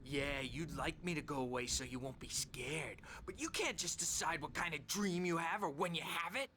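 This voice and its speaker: Creepy unstable patient in a video game, taunts and repeats himself, childish, noisy background. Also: male voice, on the high side, normal speed, pushed and bright. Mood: taunting menace. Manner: erratic, repetitive, taunting. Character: unhinged menacing male mental patient, childishly erratic. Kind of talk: dramatic video game dialogue. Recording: clear ambient noise behind.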